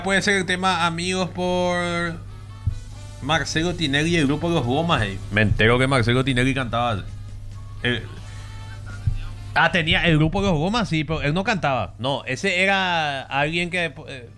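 A bright, forceful male voice leads a call-in radio show. He talks casually and easygoingly, with music faint underneath. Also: a little high. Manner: easygoing, takes callers. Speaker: radio host.

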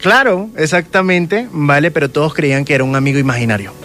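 A man's voice: a little high, quick pace, fairly bright tone. A man with a Venezuelan accent, a guest telling personal stories conversationally and often checking that the listener follows. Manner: frequent check-in tags. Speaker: guest, self-described medium.

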